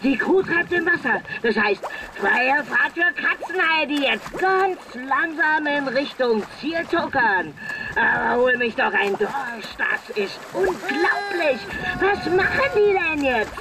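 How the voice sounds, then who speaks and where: An actor playing an excited, cheeky race commentator in a children's pirate radio play. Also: high-pitched, slow, background noise, heavily acted. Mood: astonished. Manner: exclamatory, dramatic, cheeky. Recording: music behind.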